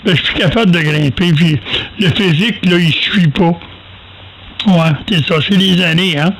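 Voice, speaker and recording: Older high-voiced Quebec man, folksy and halting. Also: vocal fry, fairly bright tone, slight background noise, poor audio. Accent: Quebec French. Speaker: amateur radio operator. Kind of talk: casual ham radio chat, rambling anecdotes.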